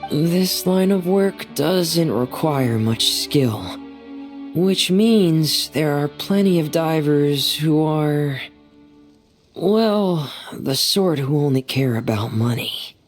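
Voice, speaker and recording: A woman playing a shy, gentle, earnest young diver in an anime game, speaking softly and hesitantly. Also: low-pitched, lively intonation, takes it slowly, soft background music. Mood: troubled, earnest. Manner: hesitant, soft-spoken, earnest.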